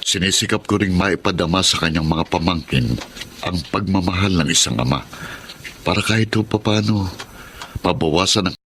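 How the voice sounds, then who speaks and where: A man's voice: low-pitched, normal speed, a bit bright, breathy edge, exaggerated voice. A man acting a caring, supportive husband and uncle in a Tagalog radio drama. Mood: concerned.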